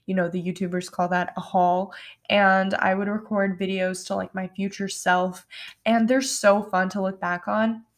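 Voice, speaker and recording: A woman's voice: medium pitch, little pitch movement, moderate pace. A woman in her twenties from New York, hosting her own podcast late at night in a chatty, rambling way with many "like" fillers and no regional accent. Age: 20s. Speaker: podcast host. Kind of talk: casual solo podcast chat. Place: home at night.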